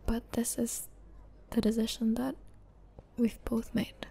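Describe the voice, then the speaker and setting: Casting: actress as a tender, sorrowful young girlfriend, slow halting delivery, soft breathy half-whisper, ASMR roleplay. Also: on the high side, completely flat delivery, exaggerated voice. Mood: tender, grateful. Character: tender, sad young girlfriend saying goodbye.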